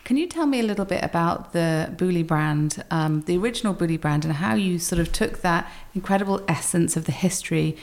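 A woman's voice: fairly low pitch, animated, a little fast, hardly any pauses, darkish tone. A woman interviewing a guest in a conversational style, with effusive, wordy questions.

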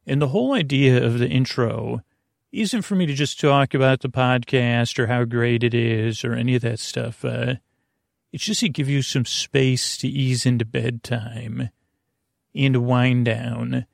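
Male sleep-podcast host, gravelly and creaky, soothing, meandering delivery, dry close sound. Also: mid-range voice, steady tone, unhurried, dry recording. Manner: meandering, mumbling, repetitive, with tangents. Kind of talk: rambling bedtime sleep podcast introduction.

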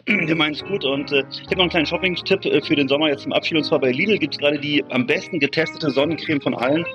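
A man chats as a podcast co-host at a quick clip. His delivery is casual, colloquial and full of griping. The sound is slightly dull and lo-fi, with music in the background. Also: on the high side. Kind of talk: casual chat. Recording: dull lo-fi face-to-face USB setup.

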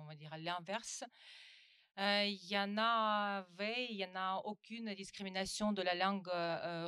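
A woman from Ukraine, Russian her first language, talks haltingly at a round-table, stopping and restarting often. Her voice is smooth and clear, recorded dry and close. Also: medium pitch, a bit bright, no echo. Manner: hesitant, many fillers and restarts. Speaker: panelist. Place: conference room.

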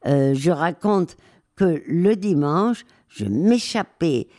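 Slow, hesitant older woman. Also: low voice, expressive, mellow. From raised in Spain. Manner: slow, hesitant, many pauses and fillers. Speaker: interview guest, war photographer. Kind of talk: reflective interview answers.

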